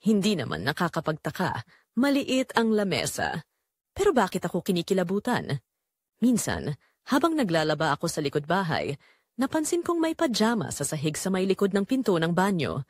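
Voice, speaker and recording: A woman's voice: on the low side, wildly swooping pitch, normal speed, husky, no echo, clean studio sound. A woman with a Filipino accent reading a scripted first-person dramatic story as narrator.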